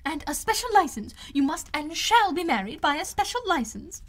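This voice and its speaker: Excitable middle-aged mom for an audiobook, really high voice, gushing and fluttery, breathless. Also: female voice, normal speed. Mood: giddy, eager. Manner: breathless exclamations, effusive. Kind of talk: audiobook character voice.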